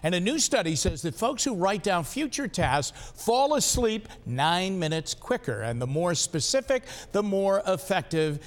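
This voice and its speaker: A man's voice: sits high, sing-song, unhurried, hardly any pauses. An American man presenting live television news as the anchor in a studio.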